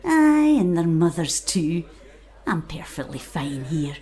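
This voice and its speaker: An old Scottish island aunt for an audio drama. Her voice is soft-edged and fairly low, swinging expressively through wry, affectionate scolding. She is frail yet takes no nonsense. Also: very animated, takes it slowly, exaggerated voice. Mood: brisk, dismissive. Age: older. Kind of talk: naturalistic audio drama acting.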